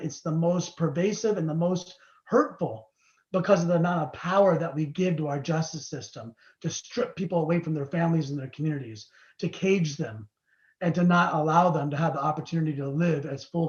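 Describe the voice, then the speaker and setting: A man's voice: high voice, darkish tone. A man who is a state assembly member, giving an earnest, urgent political speech over a video call. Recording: echoey dull sound.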